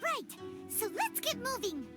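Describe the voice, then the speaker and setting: Tiny squeaky fairy companion. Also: female voice, sing-song, measured pace, exaggerated voice. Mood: curious, eager. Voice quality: squeaky, childlike. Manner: exclamatory, wide-eyed, curious. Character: tiny excitable childlike female fairy companion. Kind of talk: video game voice acting, cartoonish. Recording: loud music under the voice.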